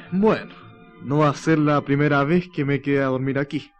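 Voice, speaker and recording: An actor playing a patient eighteen-year-old Chilean farm lad who mutters to himself in a radio drama. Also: male voice, on the high side, a little slow, faint music behind, lightly acted. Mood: worried, resigned. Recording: dull lo-fi radio drama recording.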